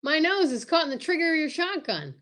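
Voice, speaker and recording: A woman playing a silly, boastful, smitten male comic stooge in an old-time radio comedy, talking in excitable exclamations. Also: high-pitched, brisk, very noisy.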